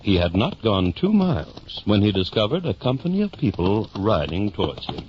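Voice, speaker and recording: An actor playing the narrator of a mock-heroic tale, telling it in a formal, theatrical old-time radio style. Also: male voice, on the low side, big pitch swings, background noise. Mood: storytelling. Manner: theatrical, formal, measured storytelling. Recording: dull old radio recording. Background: music.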